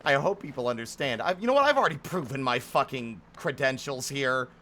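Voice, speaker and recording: A man's voice: mid-range voice, animated, fairly quick, pushed and bright. A man giving live gameplay commentary as a streamer, sweary and given to exasperated exclamations. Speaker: game streamer. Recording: background noise under the voice.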